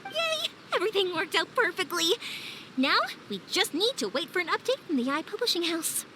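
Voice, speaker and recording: A woman playing a big-hearted, determined young woman in a video game, with an earnest, expressive delivery. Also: very high-pitched, a little fast, a little hiss or hum, full character voice. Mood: eager, friendly.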